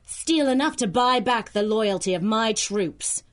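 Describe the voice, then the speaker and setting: A woman's voice: on the high side, lively intonation, a little slow. A woman playing a ruthless, imperious supervillain in a pulp radio drama, menacing, theatrical and scornful. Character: ruthless, imperious female supervillain crime lord plotting revenge.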